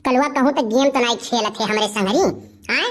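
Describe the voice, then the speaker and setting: A woman's voice: a little high, fairly quick, close to an everyday voice. A woman voicing a suspicious, chatty, grumbling village auntie with an Awadhi accent in comic cartoon dialogue.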